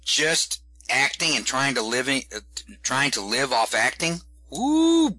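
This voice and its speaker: A man with a Southern Texan accent, a comedian giving folksy, animated advice in an interview, often repeating words as he starts a sentence. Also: medium pitch, unhurried, no room sound. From Texas. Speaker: stand-up comedian guest. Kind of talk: casual interview talk.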